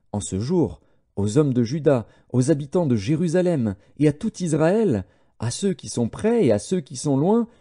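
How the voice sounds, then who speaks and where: A man narrating scripture as an audiobook, reading in a measured, solemn manner. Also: on the high side, mellow.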